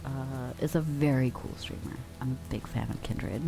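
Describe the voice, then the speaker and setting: A young adult woman streamer chatting softly with her viewers, drifting in and out of whispers, relaxed and affectionate. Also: very deep voice, normal speed, gravelly, over music. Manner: relaxed, affectionate, reads and answers chat; drifts between soft talk and whispers. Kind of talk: soft-spoken ASMR chat with viewers.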